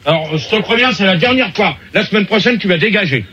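A man's voice: sits high, expressive, fast, sharp tone, muffled recording, slight background noise. A man hosting a casual radio debate, blunt and scolding, who swears at the participants.

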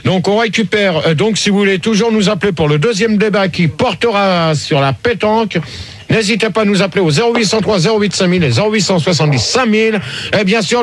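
A man hosting a radio phone-in, speaking in a gruff, blunt, halting way, stumbling and restarting as he scolds and moderates. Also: high-pitched. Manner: halting, stumbling speech with repeated starts. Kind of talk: casual, rambling debate moderation.

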